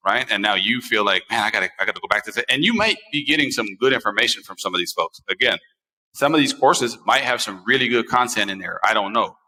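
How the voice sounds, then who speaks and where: A bright, forceful American man in his forties talks in a long, reverberant room. He hosts a casual talk show and tells stories in an animated, repetitive way, leaning on phrases for emphasis. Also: mid-range voice. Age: 40s. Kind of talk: casual chat, personal storytelling.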